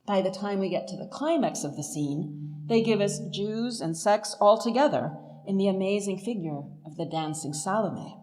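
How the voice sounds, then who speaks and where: A woman professor giving a literary lecture in an articulate, measured, academic manner. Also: mid-range voice, animated, a little mellow, poor audio. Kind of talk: illuminated lecture, literary analysis.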